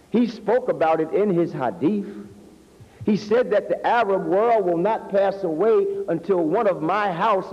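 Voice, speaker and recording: In a long, ringing hall on muffled old tape, an American man preaches from a podium. His voice sits high, and he speaks slowly and emphatically, stretching key words as a practised orator does. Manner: emphatic oratory with drawn-out words and rhetorical questions. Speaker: minister preaching at a mosque. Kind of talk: sermon. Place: indoor hall, speaking at a lectern. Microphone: two podium microphones. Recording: reverberant hall, dull lo-fi old video sound.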